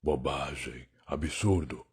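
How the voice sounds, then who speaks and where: A man performs a weary, sardonic alien wanderer telling his own dark tale in an audio drama. The voice is extremely low and very rough, with a Brazilian accent. He is theatrical, brooding and defiant. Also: slow pace, full character voice. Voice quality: husky, gravelly. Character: weary, defiant alien time-traveler, sardonic adult male. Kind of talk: dramatic first-person audio drama narration.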